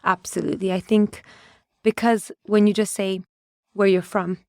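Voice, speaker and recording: Flat-voiced woman from Ethiopia on a podcast. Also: mid-range voice, moderate pace, no room sound, clean studio sound. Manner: thoughtful, with frequent restarts while searching for words. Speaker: conservation adviser, podcast guest. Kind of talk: reflective interview answers.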